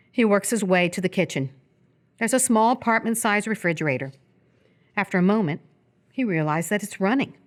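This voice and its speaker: A woman with a slightly low voice narrates a radio play. She reads scripted action as the story's narrator, in present-tense descriptive prose. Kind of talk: scripted narration of a screenplay for live radio theatre.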